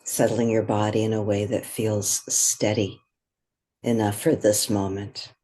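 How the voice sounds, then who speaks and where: A meditation guide speaking gently and in a measured way, leaving long pauses between phrases. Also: medium pitch, little pitch movement. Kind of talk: guided meditation, soothing spoken instruction.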